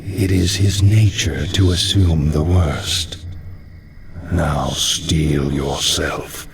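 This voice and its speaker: An actor playing a sinister, patient necromancer in a video game, with a gravelly, drawn-out, ominous delivery. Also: male voice, rumbling low, steady tone, slight background noise, full character voice. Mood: sly, ominous. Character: sinister, patient necromancer ghost, sly servant. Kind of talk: video game cutscene acting.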